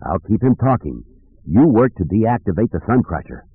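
An urgent, emphatic starship pilot, as a male narrator plays him. He pleads with rough loyalty and swinging intonation in an audiobook, on a narrow-band recording. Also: lowish voice, very animated, moderate pace, no room sound, exaggerated voice. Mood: conspiratorial.

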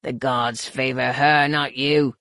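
A narrator voicing a battle-worn male alien outcast in an audiobook, devout and defiant, with a rasping voice. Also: mid-range voice, steady tone, slow, pushed and bright, no echo, voice pushed down.

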